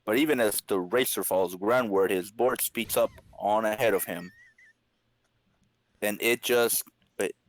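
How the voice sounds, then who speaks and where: A man's voice: on the low side, a little slow, pauses often, no room sound, poor audio. A man co-hosting a podcast, casually bantering and reading comic-book narration aloud. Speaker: podcast co-host.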